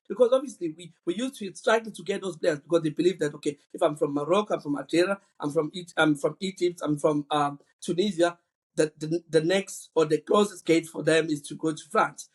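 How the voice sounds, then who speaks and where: Man, earnest podcast co-host, higher pitch and a husky tone. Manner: earnest, repeats words while thinking. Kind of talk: casual football chat.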